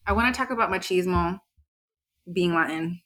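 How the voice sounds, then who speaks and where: A woman's voice: fairly low pitch, moderate pace, a bit bright. A woman hosting a casual group conversation at a dining table, curious and asking probing questions. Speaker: podcast host. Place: home dining room.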